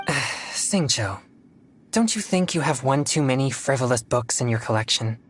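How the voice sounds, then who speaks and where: A woman's voice: a bass voice, expressive, a little slow, slight background noise. A woman playing an earnest, reserved and polite teenage boy in a video game, serious and a little hesitant. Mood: reluctant, exasperated. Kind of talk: video game character dialogue. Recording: game music behind the voice.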